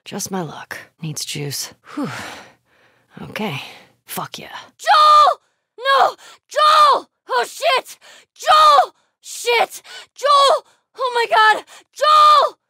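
A woman's voice: extremely high pitch, big pitch swings, drawn-out words, bright, forceful tone, dry recording, shouting, clean studio sound, full character voice. A young woman with an American accent playing a tough, foul-mouthed, wry and guarded survivor in a video game, terse and sardonic. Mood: muttering, focused, then anguished screaming.

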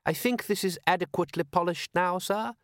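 A man's voice: high voice, fairly quick, no room sound, clean studio sound, pitched above the performer's own voice. A man voicing a dutiful, earnest butler in an audiobook, formal, deferential and eager. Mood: earnest pride.

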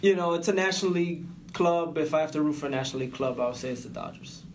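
A man's voice: on the high side, racing, a little hiss or hum. A man co-hosting a casual sports podcast, easygoing and agreeable. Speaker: podcast co-host.

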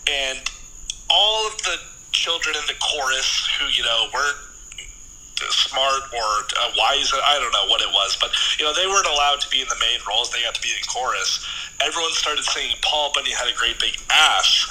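An American man in his late twenties speaks at a middling pitch. He tells comic stories casually, like a guest on a podcast. The recording has obvious noise and clicks behind him. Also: animated, normal speed. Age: late 20s.

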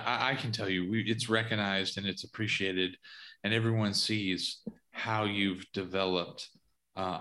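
A man hosting a podcast interview, asking long winding questions full of warm praise for his guests. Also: sits low. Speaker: podcast host.

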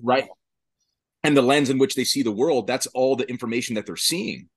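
A man's voice: medium pitch, moderate pace, slightly rough, no echo, studio quality. A man talking in an earnest, emphatic way during a casual podcast discussion.

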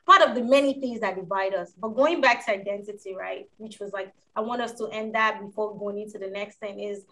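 A woman from Africa, co-hosting a casual discussion, explaining her views in a reflective, measured way. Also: mid-range voice, bright, forceful tone.

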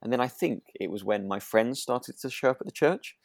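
A man's voice: medium pitch, lively intonation, fairly quick, dry recording, studio quality. A British man co-hosting a podcast, chatting casually and ramblingly, often rephrasing as he goes. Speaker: podcast co-host. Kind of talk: casual chat about a radio drama.

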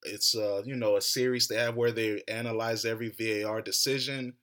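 A man's voice: on the low side, steady tone, brisk, a bit bright. A young American man hosting a podcast, ranting hotly and mockingly about a soccer match. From United States. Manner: heated, mocking rants. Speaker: podcast host. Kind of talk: animated solo soccer commentary.

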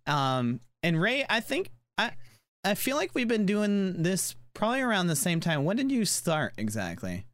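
A man's voice: sits high, wildly swooping pitch, moderate pace, fairly bright tone, no room sound, studio quality. A man hosting a casual podcast interview, rambling through his questions with many fillers and restarts. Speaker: podcast host.

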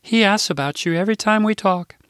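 A man's voice: high-pitched, fairly quick, fairly bright tone, smooth, no echo, clean studio sound, pitched above the performer's own voice. A man voicing a cheerful, innocent Midwestern grandmother over 60, earnest and sing-song with a Midwestern accent. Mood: gushing.

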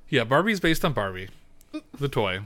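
Animated man ranting on a podcast. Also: medium pitch, normal speed. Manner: animated, swears often. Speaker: podcast co-host. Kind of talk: casual chat.

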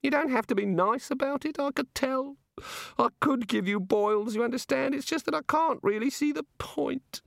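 A man's voice: creaky, dry recording, clean studio sound. A man doing a tiny quavering old god. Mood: gloomy. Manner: high quavering voice, drawn-out and pompous then deflated. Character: tiny fussy old male god, flustered and gloomy.

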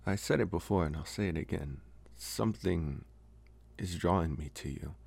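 Guy acting as a college vampire boy, dark relaxed husky voice, soft and halting, gently possessive, asmr style. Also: deep voice, heavily acted. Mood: awkward, coaxing. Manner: hesitant, soft-spoken, slow with long pauses. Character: young male college vampire, smitten, calm, gently possessive. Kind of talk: ASMR roleplay, intimate one-sided dialogue.

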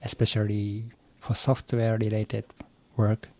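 A man from Japan with a Japanese accent, answering interview questions as an English learner, haltingly searching for words. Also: lowish voice, monotone, mellow, dry recording. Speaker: podcast guest, English learner.

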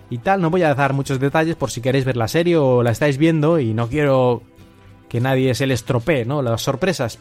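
Man, accent from Spain, solo podcast host, slightly high voice, conversational storytelling, music in the background. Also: a little mellow. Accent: Spanish from Spain. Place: at home.

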